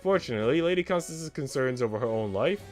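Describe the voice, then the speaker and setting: Man voicing an arch, flirtatious servant woman, animated. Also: mid-range voice, fast, soft background music, close to an everyday voice. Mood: arch, boastful.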